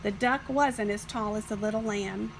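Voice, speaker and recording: A woman reading a children's picture book as scripted narration, with expressive, deliberate phrasing. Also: mid-range voice, gravelly, slight background noise, low-quality recording. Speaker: storybook reader.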